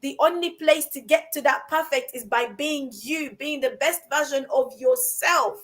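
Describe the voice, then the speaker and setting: A high-pitched woman hosts a relaxed podcast conversation. She drives her points home by repeating short phrases with rising force, and she talks as an encouraging coach would. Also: a little slow. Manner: emphatic, repeats phrases for stress. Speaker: podcast host. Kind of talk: casual chat about confidence.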